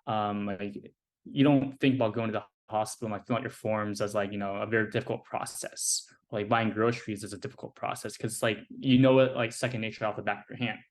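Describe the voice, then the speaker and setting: A young man with an American accent and a fairly low voice talks as a podcast guest. His delivery is casual and easygoing, scattered with fillers as he thinks aloud. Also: fast talker. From United States. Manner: relaxed, filler-heavy speech with many "like" and "you know". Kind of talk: casual conversational interview.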